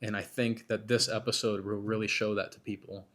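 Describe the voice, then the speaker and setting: A man hosting a podcast, talking casually and a bit self-critically with lots of restarts, his voice worn and hoarse from being sick. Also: lowish voice, level tone, fairly quick, fairly bright tone. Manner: rambling, self-critical, many restarts and fillers. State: losing his voice, getting over being sick. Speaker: podcast host. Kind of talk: casual solo commentary between interview segments.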